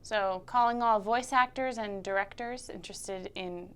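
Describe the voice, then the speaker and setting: A woman's voice: medium pitch, normal speed, clear, resonant tone. A woman hosting a casual local TV interview, asking short questions and murmuring along attentively. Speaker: interviewer, host.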